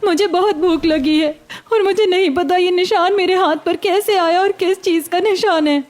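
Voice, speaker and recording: A woman voicing a hungry young beggar woman in a cartoon story, plaintive and quick to retort. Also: very high voice. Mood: pleading. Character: hungry young beggar woman, pleading yet sharp-tongued. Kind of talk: Hindi cartoon story acting.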